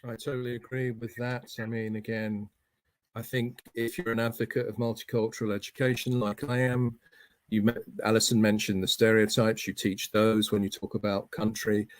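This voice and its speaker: Earnest low-voiced man, dry sound. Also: no room sound. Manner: earnest, emphatic, lecturing. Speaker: academic panelist. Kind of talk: online panel discussion.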